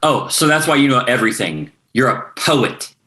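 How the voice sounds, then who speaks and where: Casting: male actor as a rude, combative hothead, bright forceful voice with wildly animated pitch. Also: moderate pace, low-quality recording. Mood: scornful. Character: hot-headed man, rude, dismissive, quick to argue. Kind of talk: screenplay table read.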